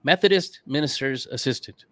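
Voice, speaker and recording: A man's voice: mid-range voice, moderate pace, fairly bright tone, no echo. A man co-hosting a podcast, telling a history story in a casual, conversational way. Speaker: podcast co-host.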